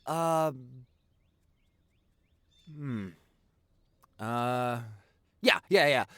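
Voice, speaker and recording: Casting: male actor as chatty, protective teen boyfriend for audio roleplay; mid-pitched, rambling, halting delivery, very dry recording. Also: a bit bright, no room sound. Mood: flustered rambling. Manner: rambling, halting, repeats himself, emphatic. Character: chatty, protective teenage boyfriend reminiscing about his family.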